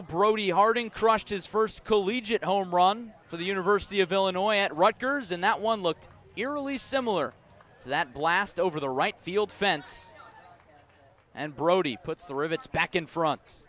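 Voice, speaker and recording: Male baseball radio announcer, slightly high-pitched voice, descriptive pitch-by-pitch calling. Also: moderate pace. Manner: descriptive, storytelling between pitches, rising to excited calls on big plays. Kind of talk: live radio baseball commentary.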